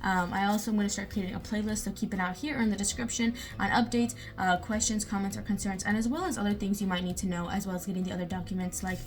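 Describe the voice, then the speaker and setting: Young woman, casual how-to vlogger, rapid and filler-heavy, mid pitch. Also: fairly even intonation. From United States. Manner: chatty, lots of fillers like "you know" and "um".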